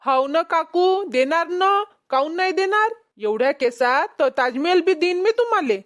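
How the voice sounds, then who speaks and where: A woman's voice: extremely high pitch, lively intonation, normal speed. A woman voicing a boastful, haggling young male street peddler in a cartoon comedy, with a Vidarbha Marathi accent. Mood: businesslike, agreeable. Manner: cocky, bargaining.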